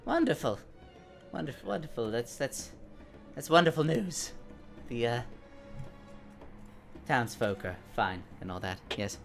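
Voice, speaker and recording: A man's voice: medium pitch, animated, a little slow, long pauses, soft background music. A man voicing a nervous little gnome tinkerer who stammers and repeats his words. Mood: nervous relief.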